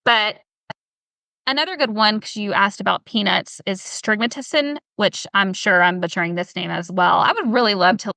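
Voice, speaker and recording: A woman with a Southern US accent, a health professional talking through a podcast interview on a video call, animated and firing off rhetorical questions. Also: mid-range voice, normal speed, vocal fry, dry recording, rough recording. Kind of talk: casual podcast conversation.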